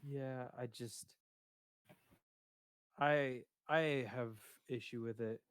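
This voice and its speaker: A man with a big, booming voice talks loosely on a stream, hunting for words and restarting often. His sound is dry and close, with no room around it. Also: fairly even intonation, extremely slow, fairly bright tone, clean tone, no room sound. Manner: rambling, hesitant with many fillers. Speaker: streamer discussing his game character. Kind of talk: casual chat.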